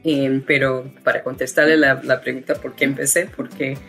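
A woman with a low voice and an American accent talks as an interview guest, pausing often on fillers and restarting her phrases. Soft music plays faintly behind her. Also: level tone, gravelly, breathy edge. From United States. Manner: hesitant, many fillers and restarts. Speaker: guest, education founder. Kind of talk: interview conversation.

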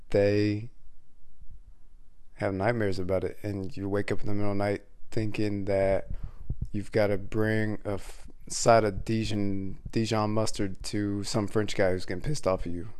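Man, scratchy voice, low-pitched, mellow tone, casual solo podcast, rambling, restarts often. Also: clear, resonant tone. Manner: rambling, frequent restarts and fillers. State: scratchy voice from a cold. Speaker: podcast host; waiter.

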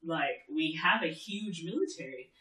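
A man with a bright, forceful, fairly high voice. He talks in a casual co-host style, pushing his opinions with emphasis and restating phrases. The room around him is noticeably echoey. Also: animated, unhurried. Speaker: podcast co-host. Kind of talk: casual TV discussion chat. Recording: roomy, echoey room sound.

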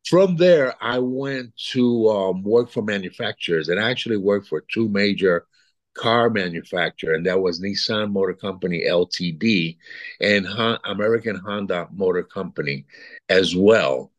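Man, 60s, from Puerto Rico; low-pitched, meandering guest talk, dry sound. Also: moderate pace, dry recording. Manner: rambling, repeats words, chuckles between thoughts. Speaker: guest artist. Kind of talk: casual interview chat.

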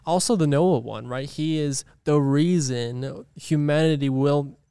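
A man's voice: on the high side, unhurried, warm tone, clean tone, dry recording. A man in his early twenties co-hosting a podcast, upbeat and playful, sometimes reading from notes. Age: 20s. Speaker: podcast co-host. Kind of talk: casual chat.

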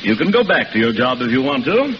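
An actor playing a condescending, stingy store boss in a 1940s radio drama, smug and needling. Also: male voice, mid-range voice, sing-song, a little fast, long reverb tail, natural voice. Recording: muffled old radio broadcast, faint hiss.

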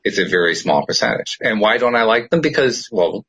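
A man's voice: lowish voice, brisk, husky. A middle-aged man, host and producer, chatting casually and emphatically, laughing often. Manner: emphatic, animated storyteller who laughs often. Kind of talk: casual chat.

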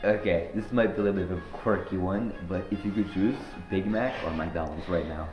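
A teenage boy from Norway hosting a joking school podcast, talking in deadpan, slangy banter. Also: low voice, fairly quick, echoey room, dull audio, music in the background. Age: teens. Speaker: podcast host. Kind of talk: joking student podcast interview.

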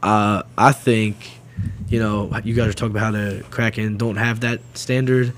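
A man's voice: on the low side, flat delivery, normal speed, a little hiss or hum. Rambling male co-host making hockey predictions. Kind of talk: casual sports talk radio.

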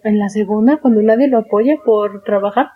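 A woman's voice: medium pitch, normal speed, phone-quality sound. A young woman co-hosting a podcast, talking casually with a friend in a wry, understated way. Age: young adult. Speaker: podcast co-host. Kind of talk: casual bantering chat with a friend.

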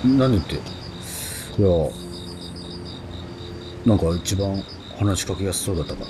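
An actor playing a kindly, apologetic middle-aged man from the future in a radio drama, speaking softly and reassuringly. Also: deep voice, lively intonation, unhurried, background noise.

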